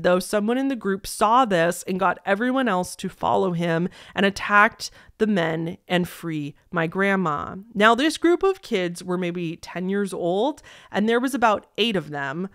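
A young woman speaks in a slightly low voice, close to the microphone in a dry-sounding room. She has the casual, expressive delivery of an online host reading stories aloud and chatting about them. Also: no room sound. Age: young adult. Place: small decorated indoor room. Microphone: close desk microphone.

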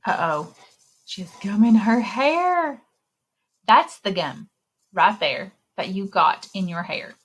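A woman in her thirties reading a picture book aloud to children in an expressive, exclaiming way, speaking directly to her young listeners, with a slightly husky voice. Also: medium pitch, takes it slowly. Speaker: children's librarian and story time reader. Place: indoor room, recorded screen share with webcam.

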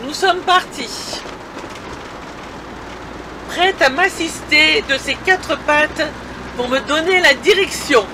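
High female voice, bright tone, chatty vlog narration, vehicle noise behind. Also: takes it slowly, pauses often. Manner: chatty, addressing viewers directly. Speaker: vlogger. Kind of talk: casual vlog narration while driving. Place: inside a moving or parked camper van. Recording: vehicle engine and road noise.

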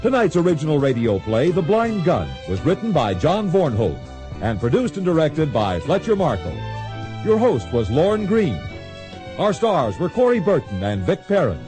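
A man's voice: fairly high pitch, wildly swooping pitch, normal speed, music in the background. A man reading scripted credits as the announcer of a radio drama program.

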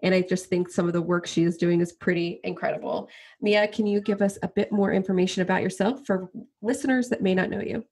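A woman's voice: on the low side, fairly even intonation, fairly quick, darkish tone, slightly rough. A woman hosting a podcast interview, speaking in an affirming, enthusiastic way. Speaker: podcast host.

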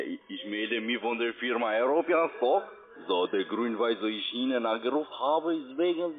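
A man who is not a native German speaker making a sales pitch by phone. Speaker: cold-calling salesman. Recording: narrow tinny phone line.

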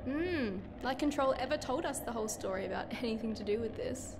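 Woman playing a high-voiced brash spaceship crewmate. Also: expressive, brisk, noisy background. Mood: puzzled, skeptical. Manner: casual, exclamatory. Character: brash, informal young woman spaceship crew member. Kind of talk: science fiction audio drama.

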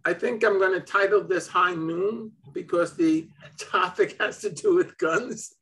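Old guy from brooklyn on a video call, kinda high voice, laughs a lot. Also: measured pace. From Brooklyn, New York. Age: 70s. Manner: chuckles often, relaxed. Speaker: host. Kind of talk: casual video call conversation. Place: home office.

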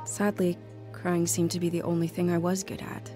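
An actress plays a grown woman looking back on a lonely childhood. Her voice is on the low side, reflective and measured, in scripted audio drama narration. Music plays faintly behind her. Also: little pitch movement, mellow, natural voice. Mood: wistful, reflective. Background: music under the voice.

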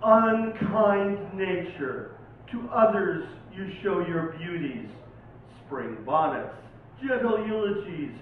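A man performs as a poet at a live reading, his delivery dramatic and very unhurried. He is picked up from some distance in a heavily echoing space. The sound is thin and tinny. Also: high voice. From Oregon, United States. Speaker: poet reading own poems. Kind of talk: live poetry reading with informal introductions. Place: live poetry night venue, recorded from a distance. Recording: tinny, very echoey, distant, faint noise.

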